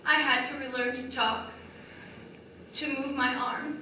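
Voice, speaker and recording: Woman performing battered wife, stage monologue, slow halting phrases, bright tone, wounded, far-off echoey recording. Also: high voice, steady tone. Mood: subdued, haunted. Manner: deliberate, halting delivery with short broken phrases. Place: large echoey room, distant microphone. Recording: very echoey hall from far off, faint noise.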